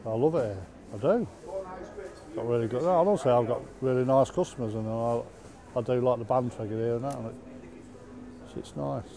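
A man's voice: mid-range voice, moderate pace, pauses often, dark tone, poor audio. A middle-aged man talking casually in an interview as a market trader. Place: indoor market hall. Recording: music clearly behind interview.

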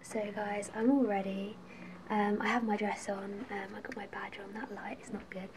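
A woman, chatting casually to a phone camera at home in a husky, strained voice, rambling with lots of fillers. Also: mid-range voice, flat delivery, moderate pace, noisy background. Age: 20s. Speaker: vlogger. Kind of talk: casual vlog chat. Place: indoors at home, bedroom and kitchen. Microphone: phone camera held at arm's length.

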